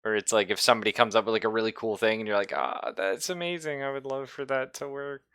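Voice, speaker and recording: A man with a fairly low voice and a bright, forward edge talks as game master to his players. The sound is dry and close, and his delivery is casual and conversational. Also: quick pace, smooth, no room sound. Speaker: game master of an online tabletop RPG. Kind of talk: casual group chat while running a game.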